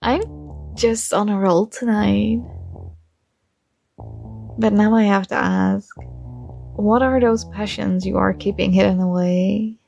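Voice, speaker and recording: A young woman playing a shy, warm college girl with a secret crush, speaking softly and hesitantly in an intimate ASMR roleplay. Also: mid-range voice, steady tone, takes it slowly, creaky, long pauses, soft background music. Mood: gentle, curious.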